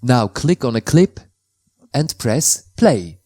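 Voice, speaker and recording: A man from Switzerland, a native Swiss German speaker with a Swiss German accent, calmly explaining how to install and use software in a tutorial voice-over, with slightly non-native phrasing. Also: mid-range voice, slow pace, no echo, studio quality. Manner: careful, plain instructional delivery in slightly non-native English.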